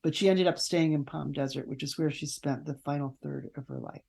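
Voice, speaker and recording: A woman speaking as a guest author in a conversational interview, telling stories in a halting, reflective way with pauses. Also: sits low, fairly even intonation, vocal fry, warm tone, breathy edge.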